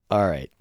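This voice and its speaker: A man's voice: on the low side, a little mellow, breathy edge, dry recording. A man co-hosting a podcast in casual chat, with an informal, joking way of talking. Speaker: podcast co-host.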